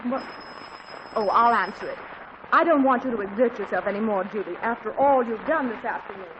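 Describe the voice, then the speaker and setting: A woman's voice: on the high side, fairly quick, natural voice. An actress playing a flustered but dryly humorous middle-aged mother in a 1940s radio comedy, heard through a hissy, dull old radio recording. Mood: wry.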